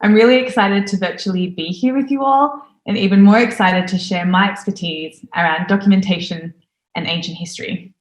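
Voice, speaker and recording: A woman's voice: medium pitch, brisk. Enthusiastic woman presenter in an echoey room. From Australia. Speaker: conference speaker. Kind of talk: recorded conference talk.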